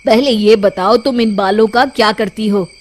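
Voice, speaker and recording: A woman's voice: mid-range voice, moderate pace, lightly acted. Woman voicing a bold, clever village man, emphatic. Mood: firm, teasing. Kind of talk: children's story dialogue. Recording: background music under the voice.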